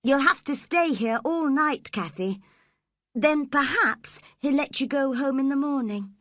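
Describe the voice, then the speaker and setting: A woman's voice: high voice, measured pace, fairly bright tone, no echo, clean studio sound, voice pushed up. A woman voicing a sickly, terrified teenage boy who sobs and pleads breathlessly. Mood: whining.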